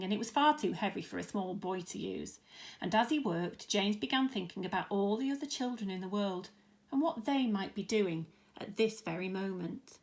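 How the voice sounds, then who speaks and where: A woman reads a children's story aloud in a medium-pitched voice. Her narration is expressive, in the manner of a teacher at storytime.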